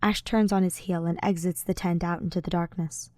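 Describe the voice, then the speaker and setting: A woman's voice: fairly low pitch, steady tone, fairly quick, darkish tone, no room sound, clean studio sound. A woman reading fiction aloud as a scripted audiobook narrator. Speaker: podfic narrator.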